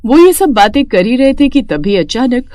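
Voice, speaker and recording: A woman's voice: fairly high pitch, very animated, normal speed, dark tone, a little hiss or hum. A woman narrating a scripted moral story as a storyteller.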